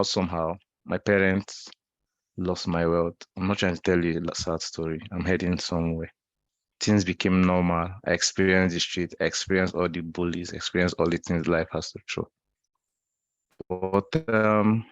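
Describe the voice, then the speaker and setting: Low, flat-voiced Nigerian man. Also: dry recording. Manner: conversational, repeats words, restarts phrases. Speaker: guest speaker. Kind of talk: online talk, personal story. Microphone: video call.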